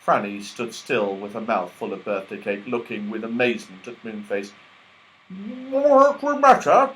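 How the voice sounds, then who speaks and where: A man's voice: fairly low pitch, little pitch movement, moderate pace, fairly bright tone, rough recording. A man narrating a children's story expressively, as an audiobook reader.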